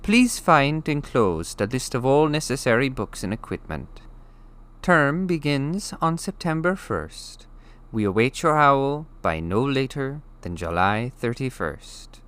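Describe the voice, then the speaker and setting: A man reads as a formal storybook school official. The voice is raised in pitch and forceful, with measured, polite phrasing. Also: very animated, smooth. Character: formal official letter writer, an older headmistress's words. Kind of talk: storybook letter read aloud.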